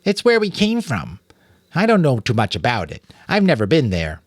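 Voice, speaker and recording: Man putting on a high-pitched, wary little girl rat. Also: wildly swooping pitch, normal speed, dry recording, clean studio sound. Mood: doubtful. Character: small young girl rat, wary and childishly earnest.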